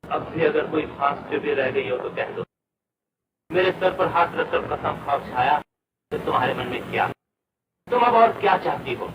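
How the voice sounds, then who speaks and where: A man's voice: fairly high pitch, animated, moderate pace, long pauses, natural voice. An actor playing an intense, tormented, devoted man in old Hindi film melodrama, speaking with theatrical emphasis and repetition. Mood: anguished, probing. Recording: echoey, distant, noisy old film soundtrack.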